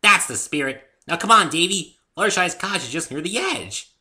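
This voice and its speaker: A man voicing a bubbly, innocent, excitable young female cartoon pony in an amateur story reading. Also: high-pitched, moderate pace, voice pushed up, exaggerated voice. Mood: cheerful. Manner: cheerful, exclamatory, tactless.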